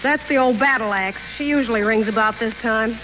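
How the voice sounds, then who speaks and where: A sardonic, wisecracking maid, played by an actress in an old radio drama, dry and deadpan. Her voice sits fairly high. The broadcast is dull and muffled, with clear hiss behind it. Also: a little fast, close to an everyday voice. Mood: wry. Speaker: Molly. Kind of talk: 1930s radio play acting.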